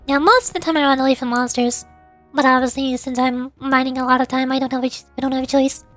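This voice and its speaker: A woman's voice: high-pitched, steady tone, fast, a bit bright, faint music behind. A woman livestreamer chatting casually with viewers, rambling and often repeating words as she forms her thoughts. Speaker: VTuber livestreamer.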